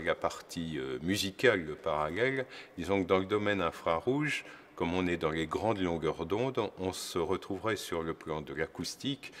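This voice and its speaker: A man speaking as an astrophysicist, giving an unscripted educational explanation in a didactic way, searching for his words as he goes. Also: deep voice.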